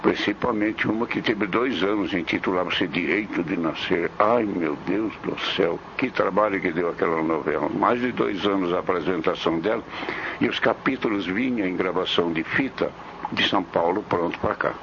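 A man's voice: sits low, moderate pace, fairly bright tone. An older Brazilian man, a veteran radio technician, casually recalling his working years. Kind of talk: casual recollection. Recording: dull interview tape with faint noise.

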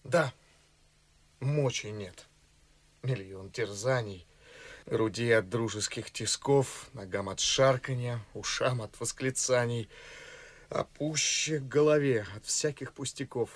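An actor playing a weary, tormented young gentleman idealist in a verse radio drama, speaking in a world-weary manner. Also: mid-range voice, takes it slowly. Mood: anguished weariness. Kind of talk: stage-classic verse radio acting.

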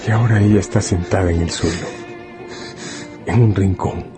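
A man acting as the male narrator of a radio novel speaks in a grave, hushed way over clear music. He is deliberate and intimate, as if telling the listener what he himself is doing. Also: low-pitched, steady tone, lightly acted. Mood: suspenseful.

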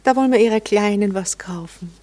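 An actress playing a proper married lady in a German radio play, guarded, arch and coolly mocking, quick to reprove. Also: medium pitch, a little mellow, lightly acted. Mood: sarcastic, jealous.

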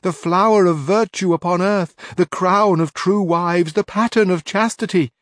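A man's voice: mid-range voice, lively intonation, moderate pace, no room sound, clean studio sound, pitched above the performer's own voice, close to an everyday voice. A man voicing a crafty young maidservant in a storybook reading, pleading and melodramatic. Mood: wailing.

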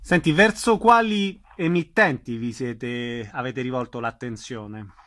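A man's voice: medium pitch, unhurried, fairly bright tone. A man hosting a live radio show, introducing guests and putting questions to them. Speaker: radio host.

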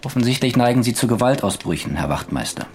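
An actor playing a formal, relentless police interrogator in a German radio drama, controlled and pointed. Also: male voice, medium pitch, big pitch swings, fast, natural voice. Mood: cool, insistent.

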